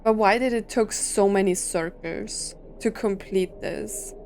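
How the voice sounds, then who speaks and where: A woman's voice: mid-range voice, measured pace, a little mellow, a little hiss or hum. A woman giving casual reaction commentary as a gaming streamer, thinking aloud and asking questions.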